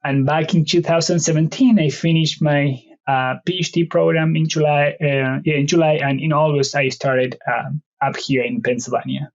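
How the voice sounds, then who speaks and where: A man's voice: a little high, steady tone, normal speed. A man from Argentina in his late thirties, a native Spanish speaker with an Argentine accent, answering interview questions in a hesitant, conversational way with many fillers and restarts. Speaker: veterinary research professor, podcast guest. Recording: slightly dull lo-fi audio.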